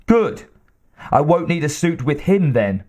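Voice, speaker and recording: A man voicing a gruff, sarcastic male company boss with a booming way of talking. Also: very animated, a little slow, dark tone.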